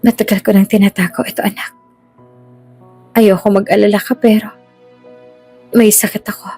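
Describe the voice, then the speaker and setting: A tender, ailing mother, voiced by a woman narrator, speaks gently and earnestly in an audio drama. She sounds weary, with music underneath. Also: mid-range voice, little pitch movement, moderate pace, close to an everyday voice. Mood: sad, confessional. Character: ailing, tender mother Elena, hiding her illness.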